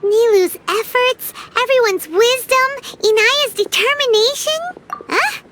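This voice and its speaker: A squeaky, excitable fairy companion. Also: female voice, measured pace, background noise. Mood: chirpy. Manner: refers to herself in third person, excitable. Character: tiny floating fairy companion, chirpy and nosy. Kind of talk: game dialogue. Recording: game audio with loud music behind.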